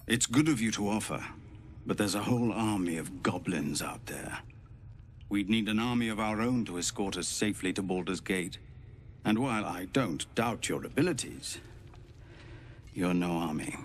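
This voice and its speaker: A man playing a weary, courteous refugee leader in a video game, measured, serious and polite. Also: on the low side, a little hiss or hum, lightly acted. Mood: wry, worried. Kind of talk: video game dialogue acting.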